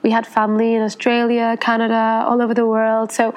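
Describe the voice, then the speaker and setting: A woman in her thirties, born in England, recounts her life on a podcast in a flowing, earnest way, circling back and restarting her sentences. Her voice sits a touch higher than most women's. She speaks at home in a quiet setting. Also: completely flat delivery, a little fast, clean tone. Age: 30s. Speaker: podcast guest, life coach. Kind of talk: casual interview. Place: her home in Bali at sunset.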